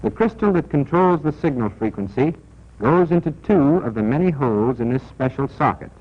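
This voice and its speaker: An American man narrating a 1940s military training film in a scripted, deliberate, instructional and emphatic manner, on an old film soundtrack. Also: on the high side, big pitch swings. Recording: dull, band-limited 1940s film soundtrack.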